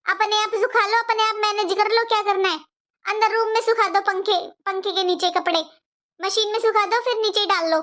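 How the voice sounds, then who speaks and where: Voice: extremely high pitch, fairly even intonation, normal speed, sharp tone. A performer voicing a resentful, defiant young married sister-in-law in a cartoon moral story, complaining and scolding. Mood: indignant. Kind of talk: animated moral story dialogue.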